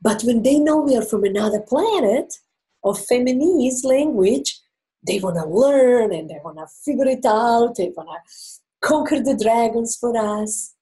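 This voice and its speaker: A woman's voice: a little high, lively intonation, dark tone. A woman with a Croatian accent, a native Croatian speaker, giving relationship advice as an interview guest in an earnest, explaining way. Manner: earnest and explanatory, with emphatic pauses.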